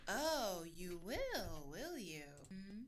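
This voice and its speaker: Knowing, teasing friend, unhurried and lively-pitched, audio drama. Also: female voice, a little hiss or hum. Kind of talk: scripted audio drama acting.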